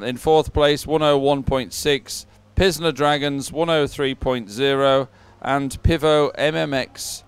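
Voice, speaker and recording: A man's voice: medium pitch, clean tone, no echo. A man commentating live on a sporting event and reading out results in a chatty, ad-libbed way.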